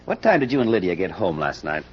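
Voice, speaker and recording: An actor playing a wry, musing amateur sleuth in a 1940s radio mystery, talking in a conversational way. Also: male voice, low voice, big pitch swings, fast talker, breathy edge, full character voice. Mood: probing. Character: wry, musing amateur sleuth narrating his own case. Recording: noisy, dull old radio recording.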